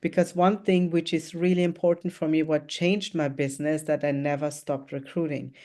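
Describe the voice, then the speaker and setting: A woman's voice: low voice, little pitch movement, normal speed, warm tone. A woman in her thirties from Austria, giving an earnest motivational talk about her path into network marketing over a video call. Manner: earnest, reflective storytelling with hesitations. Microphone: video call, remote.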